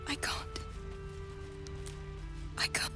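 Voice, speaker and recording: A woman playing a frightened, grieving young refugee in a video game, tearful and halting. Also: music in the background. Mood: fragile hope. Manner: halting, fragile. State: tearful, sighing. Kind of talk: dramatic video game acting.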